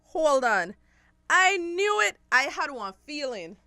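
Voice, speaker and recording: Unforgiving woman patrol officer, Belizean Creole, bright forceful voice, fairly high, blunt and accusing. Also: very animated, takes it slowly, no room sound, heavily acted. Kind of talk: radio drama acting.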